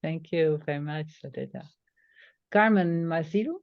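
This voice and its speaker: A woman moderating a webinar, briefly thanking people and reading out names haltingly. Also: low-pitched, no room sound. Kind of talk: calling on participants. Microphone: video call. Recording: dull, dry video-call audio.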